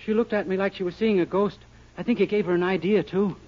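An actor playing a naive, wisecracking young shop employee in a 1940s radio comedy, delivering gags with boyish innocence. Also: male voice, high voice, steady tone, fast, lightly acted. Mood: doubtful. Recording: noisy, dull, crackly 1940s radio recording.

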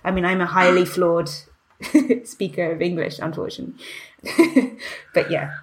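A woman's voice: fairly low pitch, normal speed. A woman, a translator and writer, answering interview questions in a reflective, searching way, often restarting her sentences and laughing lightly. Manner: thoughtful, searching, many restarts and "you know"; laughs lightly while talking.

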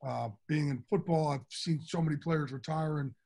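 A middle-aged man with a big, enthusiastic voice, pitched a bit higher than most men. He answers interview questions in a candid, from-the-heart way. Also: steady tone, normal speed, vocal fry, warm tone. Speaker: interview guest. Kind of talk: casual interview talk.

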